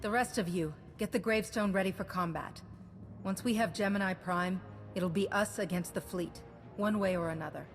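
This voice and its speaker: An actress playing a composed, resolute female commander in a video game, measured and authoritative. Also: medium pitch, music in the background, lightly acted.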